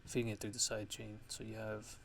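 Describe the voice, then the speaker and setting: A man giving a casual software tutorial, haltingly thinking aloud as he goes. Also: lowish voice, monotone. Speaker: music production tutorial host. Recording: clear background noise.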